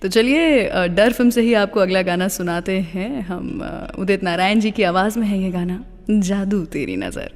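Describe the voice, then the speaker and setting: A woman's voice: mid-range voice, lively intonation, normal speed, long reverb tail. An Indian woman hosting a radio show in a conversational storytelling style, slipping English phrases into her talk. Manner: mixes English phrases into speech. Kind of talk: conversational radio storytelling about film music.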